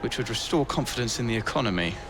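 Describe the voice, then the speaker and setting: A man playing a fretful but polite Victorian gentleman in a video game cutscene. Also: medium pitch, expressive, quick pace, noisy background, lightly acted. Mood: worried.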